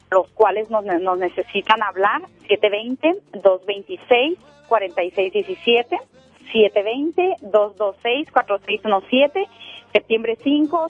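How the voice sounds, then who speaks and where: Woman co-host on dull lo-fi radio. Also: mid-range voice. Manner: friendly, reads numbers out steadily. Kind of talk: radio sign-off and announcements.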